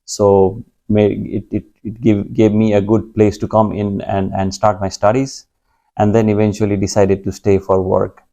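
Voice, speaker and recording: A man's voice: fairly low pitch, flat delivery, normal speed, vocal fry, mellow. A man from Nepal in his thirties, a guest speaking in a conversational interview, hesitant with many fillers and restarts.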